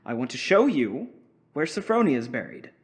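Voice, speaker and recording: A man acts out a middle-aged husband in an old-time radio thriller. He is brooding and domineering, with a deliberate delivery at a middling pitch. An unhinged, haunted obsession runs under his words. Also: sing-song, husky. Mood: insistent, menacing.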